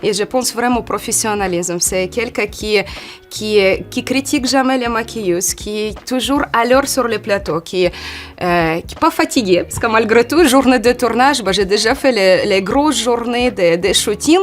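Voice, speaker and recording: Une femme d'une quarantaine d'années, d'origine ukrainienne, avec un ukrainien, qui répond à une interview sur un ton décontracté et hésitant. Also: female voice, fairly high pitch, moderate pace. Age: 40s. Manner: hesitant, many "euh" fillers, lively when acting out quotes. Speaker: model, interview guest. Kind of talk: casual interview answers.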